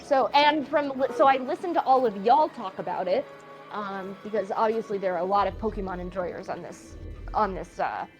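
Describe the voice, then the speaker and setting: A woman co-hosting a podcast in casual chat, talking in quick bursts with many restarts. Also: mid-range voice, over music, low-quality recording. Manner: chatty, frequent restarts and laughter. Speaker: podcast co-host.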